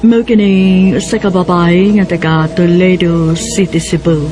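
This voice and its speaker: A woman announcer introducing a radio drama in a theatrical, emphatic, drawn-out way. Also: fairly low pitch, steady tone. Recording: music behind the announcer.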